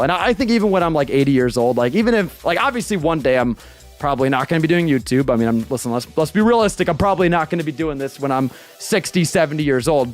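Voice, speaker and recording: Animated twenty-year-old man streaming. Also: on the high side. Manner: animated, swearing, talks with his hands. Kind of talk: casual livestream chat. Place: small bedroom streaming room with purple lights. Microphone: studio mic on boom arm, headphones.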